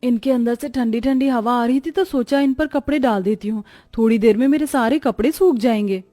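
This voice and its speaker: Woman playing a naive, high-voiced young village bride. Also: a little fast, dark tone, no room sound, clean studio sound, natural voice. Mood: innocent. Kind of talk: dramatized Hindi moral story dialogue.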